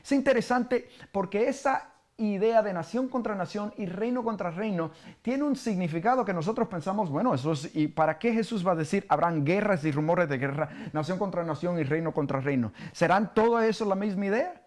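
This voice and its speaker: Cuban pastor with halting delivery. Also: male voice, sits high, lively intonation. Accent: Cuban Spanish. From Cuba. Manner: halting, many fillers, asks questions. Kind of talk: bible study sermon.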